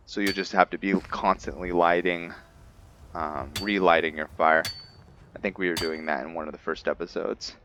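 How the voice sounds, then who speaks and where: Casting: male gamer, low-pitched voice, casual play-by-play, thinking aloud, faint noise and crickets behind. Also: fairly bright tone. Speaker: gamer narrating roleplay playthrough. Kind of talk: casual game commentary.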